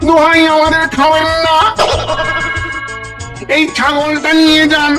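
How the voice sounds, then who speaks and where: A man's voice: extremely high pitch, monotone, unhurried. A man voicing a cowardly, servile ghost in a folk-tale cartoon, with pleading, exaggerated, repetitive delivery. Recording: loud music under the voice.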